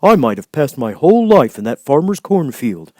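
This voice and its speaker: A man's voice: medium pitch, big pitch swings, moderate pace, a little mellow, no echo, clean studio sound, pitched above the performer's own voice. A man voicing a proud, cheerful living scarecrow in a storybook, eager and exclaiming.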